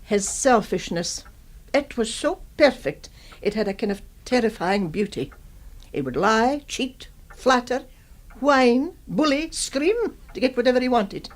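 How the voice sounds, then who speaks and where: A shrewd old schoolmistress in her seventies in a radio drama. Her voice is fairly high and lilts widely as she delivers wry, teasing, deliberate judgements. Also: expressive. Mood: dry, scathing. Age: 70s.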